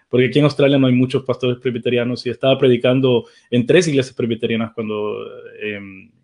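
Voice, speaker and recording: A man's voice: medium pitch, gravelly, a little mellow. A middle-aged man from El Salvador, introducing himself on an online panel in a rambling way, with many restarts. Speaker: guest panelist, church teacher.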